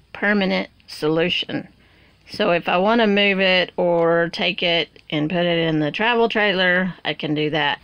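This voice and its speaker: An older woman giving a plain, unhurried show-and-tell of a project while filming with a handheld phone. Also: lowish voice, fairly bright tone, clear, resonant tone. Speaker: vlogger. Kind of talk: casual walkthrough vlog. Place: inside a small truck camper, then on its roof outdoors.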